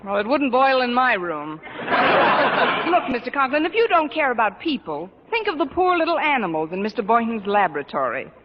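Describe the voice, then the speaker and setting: An actress playing a wry high school teacher who delivers dry, sardonic wisecracks in a vintage radio sitcom. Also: female voice, sing-song, fairly quick. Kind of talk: 1950s radio sitcom acting. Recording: muffled, hissy old radio recording.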